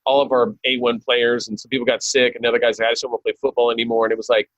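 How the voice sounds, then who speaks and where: A man's voice: medium pitch, completely flat delivery, very fast, dry recording, low-quality recording. A man with an American accent hosting a podcast in a casual, chatty interview style, rambling and joking as he goes.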